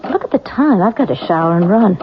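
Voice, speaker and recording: A woman's voice: medium pitch, expressive, quick pace, background noise, lightly acted. A woman playing an anxious, hesitant young wife in an old-time radio drama, with an edgy manner. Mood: preoccupied, subdued. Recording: muffled vintage radio recording, music behind.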